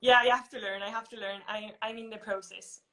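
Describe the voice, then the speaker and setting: A woman's voice: medium pitch, fairly even intonation, a little fast, bright, forceful tone. Young woman, thoughtful. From Spain. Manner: thoughtful, many fillers and restarts. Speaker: interviewee, national team footballer. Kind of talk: casual interview answers.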